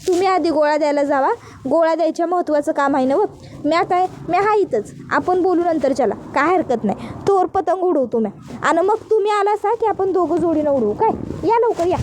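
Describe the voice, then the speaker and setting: A woman's voice: very high-pitched, expressive, a little fast, darkish tone, slight background noise. A woman voicing a cheerful village wife who speaks with a teasing, coaxing manner. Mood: playful.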